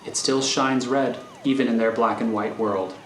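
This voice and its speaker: A man's voice: mid-range voice, fairly even intonation, normal speed, a bit bright, slight background noise. A man reading scripted screenplay narration as the narrator of a thriller. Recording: roomy recording over faint music.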